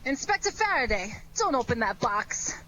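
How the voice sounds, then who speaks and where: A woman's voice: on the high side, sing-song, moderate pace, pushed and bright, a little hiss or hum, rough recording. A woman playing a wry, exclamatory young sweetheart in a 1940s-style radio detective drama, loyal and easily flustered. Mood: anxious, pleading.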